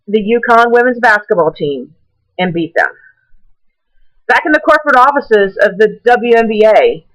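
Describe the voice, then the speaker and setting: A woman reading a prepared essay aloud in a deliberate, measured way, now and then stumbling over a word. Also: a little high, muffled recording.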